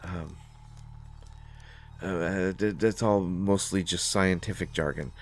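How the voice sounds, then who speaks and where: A man playing a nervous, awkward, well-meaning character in a sci-fi audio drama, speaking haltingly with many stammers. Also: low-pitched, steady tone. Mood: awkward, explaining. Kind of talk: solo scripted audio drama, conversational acting.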